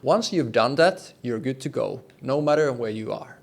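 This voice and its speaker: A man's voice: mid-range voice, animated, moderate pace, vocal fry. A man presenting a scripted explainer in a conversational, plain-spoken way, with emphasis and light wryness. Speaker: host, air traffic control explainer.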